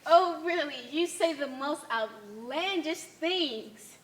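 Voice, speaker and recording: A woman's voice: high voice, expressive, slow pace. A woman on stage playing a haughty, literal-minded member of a blue cult, puzzled and disdainful. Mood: scornful. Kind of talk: amateur one-act stage play acting. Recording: faint noisy stage ambience.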